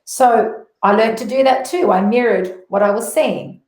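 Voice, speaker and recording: A woman's voice: a little high, a little slow, darkish tone. Echoey middle-aged Australian woman, earnest. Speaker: interview guest, motivational speaker. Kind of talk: personal spiritual testimony. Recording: roomy echo on voice.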